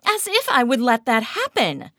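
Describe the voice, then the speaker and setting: A woman voicing a brash, quick-tempered female TV renovation star who talks bluntly and sarcastically. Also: on the low side, big pitch swings, a bit bright, no room sound, clean studio sound. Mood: fiery.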